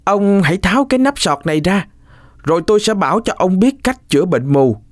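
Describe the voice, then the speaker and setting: A man's voice: sits high, big pitch swings, fairly quick, no echo, clean studio sound, pitched above the performer's own voice. A man voicing a mischievous, lying orphan boy in a children's folk tale, calling out with exaggerated urgency. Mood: coaxing.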